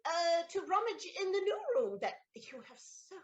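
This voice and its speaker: A flustered, stammering noble countess. Her voice is high and swoops widely in pitch, trembling between tenderness and panic. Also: big pitch swings, normal speed, lo-fi sound. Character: flustered noble countess, anxious to hide secrets. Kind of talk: stage audio play acting.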